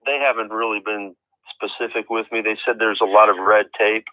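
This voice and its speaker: A dull, dry telephone recording of an American man with a fairly low voice. He speaks haltingly as a caller making a complaint, exasperated and circling back over his words. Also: little pitch movement, dry recording. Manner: halting, exasperated, repeating himself. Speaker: caller, relative of a patient. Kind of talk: phone conversation, complaint.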